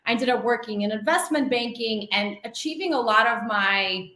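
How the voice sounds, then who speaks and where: A woman's voice: on the high side, normal speed, sharp tone, echoey room. A woman in her thirties from Russia, a guest telling her life story in long flowing runs, with frequent laughs. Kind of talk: conversational storytelling.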